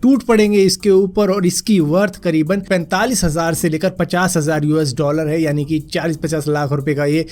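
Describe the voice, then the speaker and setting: A young man with a hoarse throat after a fever, casually rounding up news stories for his viewers. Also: a little high, fairly even intonation, moderate pace, hardly any pauses, darkish tone. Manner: chatty, addresses viewers as friends. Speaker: news vlogger.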